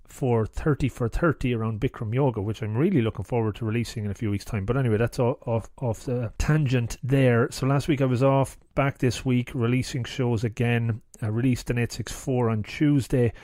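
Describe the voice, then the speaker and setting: A man talks into a close podcast mic, his voice a touch hoarse and mellow in tone. He rambles through a casual solo intro, wandering off on asides and restarting his thoughts. The sound is dry, with no room around it. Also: mid-range voice, fairly even intonation, brisk, few pauses, no echo. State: slightly hoarse. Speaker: podcast host.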